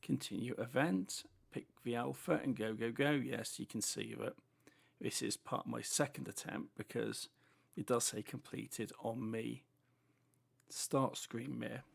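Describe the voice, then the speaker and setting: A British gaming host talking through a race as he plays, chatty and unhurried in his commentary. His voice is deeply gravelly and rough, worn hoarse by a cold, and his S sounds come out with a strong hiss. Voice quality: heavy sibilant S sounds. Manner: chatty running commentary while driving. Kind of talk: casual racing game commentary.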